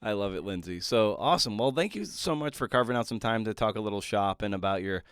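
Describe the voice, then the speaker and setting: A man's voice: on the low side, expressive, quick pace, fairly bright tone, clear, resonant tone, no room sound, clean studio sound. A man hosting a writing podcast, talking casually in a wry, self-deprecating way with rambling asides. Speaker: podcast host and writer.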